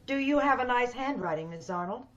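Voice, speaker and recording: A dull, muffled recording of a woman acting a sinister radio-horror villainess. She speaks in a fairly high voice, measured and coolly polite, with menace beneath every courtesy as she schemes for beauty. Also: lively intonation, a bit bright. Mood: controlled, calculating. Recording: dull video-call radio play recording.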